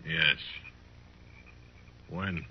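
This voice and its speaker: An actor playing a proud, menacing chief in a 1950s radio western, speaking in clipped, solemn sentences. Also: male voice, rumbling low, very slow, full character voice. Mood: stern. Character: stern, proud Cheyenne chief, terse and menacing. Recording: muffled, faintly noisy 1950s radio recording.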